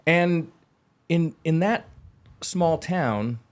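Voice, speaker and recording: A man's voice: fairly high pitch, expressive, extremely slow. A man hosting a podcast, asking eager, wordy questions that often restart mid-sentence. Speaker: podcast host. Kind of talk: casual interview chat.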